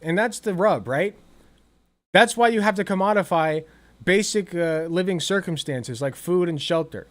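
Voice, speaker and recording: A man giving casual, sarcastic reaction commentary over a clip, swearing freely and tossing off quick asides. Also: high voice. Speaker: political commentator reacting to a video.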